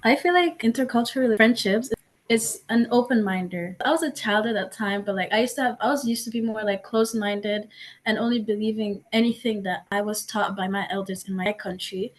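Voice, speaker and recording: A woman's voice: mid-range voice, fairly quick. A young woman from Mozambique, a podcast guest answering casually with many likes and restarts. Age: young adult.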